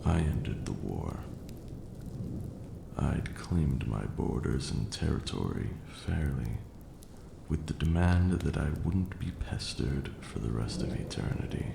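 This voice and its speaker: A man's voice: lively intonation, takes it slowly, roomy sound, noisy background, full character voice. A man playing an ancient, proud and protective vampire lord in an ASMR roleplay, speaking formally and dramatically. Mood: cold, bitter. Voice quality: very deep, gravelly, breathy at times.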